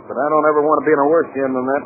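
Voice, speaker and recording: An actor playing an excitable, folksy stagecoach hand in a 1940s radio Western. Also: male voice, fairly high pitch, rapid-fire, close to an everyday voice. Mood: rattled. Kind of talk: 1940s radio drama acting. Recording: muffled, noisy 1940s radio recording.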